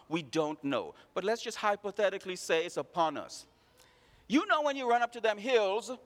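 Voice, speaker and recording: High-voiced preacher in a reverberant hall. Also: male voice, fairly bright tone. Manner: preaching with rhetorical questions to the congregation. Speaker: pastor. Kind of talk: sermon.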